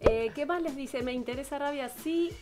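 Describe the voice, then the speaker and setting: A woman's voice: high voice, normal speed. A woman with a Rioplatense Argentine accent, chatting casually as a radio co-host. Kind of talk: casual studio chat. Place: radio studio. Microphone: close studio microphone with headphones. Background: music.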